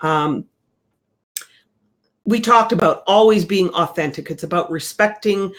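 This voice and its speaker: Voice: mid-range voice, unhurried. Real estate sales trainer, conversational coaching delivery, repeats key points.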